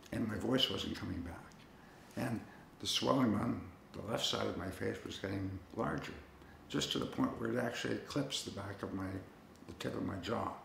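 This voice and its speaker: A man with a low, heavily gravelly voice recounts his own experience in an unhurried, conversational way, now and then restarting a phrase. He was recorded in an echoey room with a faint hiss behind him. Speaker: cancer survivor telling his story. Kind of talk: personal storytelling to camera.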